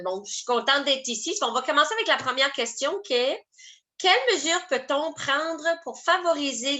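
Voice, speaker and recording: Woman running a video panel, bright forceful voice, a bit high, friendly. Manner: friendly, announces speakers by name. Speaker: panel moderator. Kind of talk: moderating an online panel. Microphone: video call.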